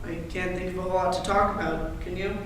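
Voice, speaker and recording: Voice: mid-range voice, fairly even intonation, quick pace, close to an everyday voice. A distant, echoey stage recording of a young actor playing an awkward boy suitor. He sounds prissy and proper in a school play, with faint noise around him. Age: teenage or young adult.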